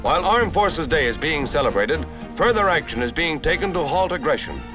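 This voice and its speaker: Male American newsreel narrator, 1950s style: dramatic, very animated, over loud music on a dull old soundtrack. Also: on the high side. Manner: brisk, dramatic announcer delivery.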